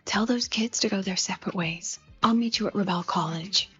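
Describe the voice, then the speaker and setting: A woman's voice: medium pitch, a little fast, sharp tone, husky, highs cut off. An actress voicing a composed, businesslike woman guide in a video game, polite and matter-of-fact. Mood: composed, brisk. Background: game music.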